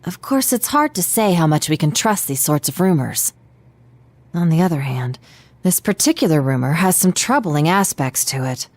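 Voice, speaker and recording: A woman's voice: deep voice, sing-song, moderate pace, darkish tone, dry recording, exaggerated voice. A woman playing a composed, analytical teenage detective in a video game, speaking politely with precise, formal diction.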